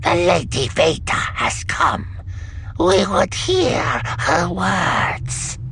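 A man voicing a dignified old female alien matriarch, firm and defiant, with a croaky voice and formal, deliberate delivery in an audiobook. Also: sits high, lively intonation, bright, forceful tone, slight background noise, voice pushed up, heavily acted. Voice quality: gravelly, croaky.

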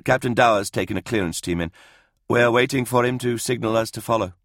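A man voicing a stern, impatient and somewhat pompous military commissar in an audiobook, gruff and curt. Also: mid-range voice, brisk, fairly bright tone, no room sound, studio quality, pitched above the performer's own voice. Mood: businesslike. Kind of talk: audiobook character voice in military science fiction.

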